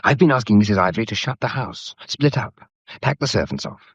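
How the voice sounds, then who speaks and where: An urgent, secretive English gentleman , acted by a man, in a period mystery drama. He gives clipped orders quickly in a very husky voice with lively swings. The sound is slightly dull. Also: fairly low pitch, no room sound, heavily acted. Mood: urgent, commanding. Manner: clipped, insistent. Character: urgent, secretive man on the run, gallant and bossy. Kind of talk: period audio drama acting.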